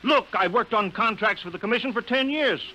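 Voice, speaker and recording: An old radio broadcast with faint noise carries a forceful, bright-voiced American man running a rocket base. He is terse and steady, with grave weariness beneath the reassurance, in 1950s drama style. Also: sits high, brisk. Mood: urgent. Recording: noisy 1950 radio broadcast recording.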